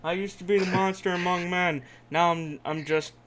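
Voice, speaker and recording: A man's voice: high voice, fairly even intonation, normal speed, crowd noise. A man co-hosting a podcast, talking casually and teasingly with plenty of swearing. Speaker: wrestling podcast co-host. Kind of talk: casual group chat.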